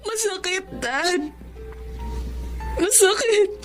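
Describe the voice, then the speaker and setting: A woman's voice: very high-pitched, expressive, drawn-out words, soft background music, exaggerated voice. An actress with a Filipino accent playing an emotional, heartbroken wife and mother in a radio drama. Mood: sorrowful. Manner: emotional, pleading. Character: heartbroken wife and mother, a psychiatrist betrayed by her husband. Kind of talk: Tagalog radio drama acting.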